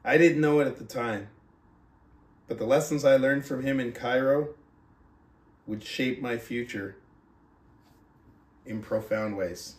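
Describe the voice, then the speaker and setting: A man's voice: medium pitch, measured pace, long pauses. Echoey, emphatic male motivational speaker. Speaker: book club host and reader, motivational coach. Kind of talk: audiobook-style reading aloud and motivational talk. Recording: roomy, echoing sound.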